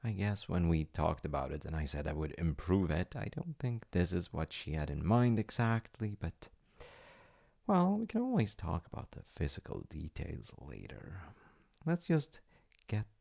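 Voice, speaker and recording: A man playing a polite, eager wizard fiance in an ASMR audio roleplay, with theatrical delivery and soft muttered asides. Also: deep voice, huge pitch swings, a little slow, vocal fry, exaggerated voice. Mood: muttering to himself. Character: eager, secretly magical wizard fiance running an enchantment business.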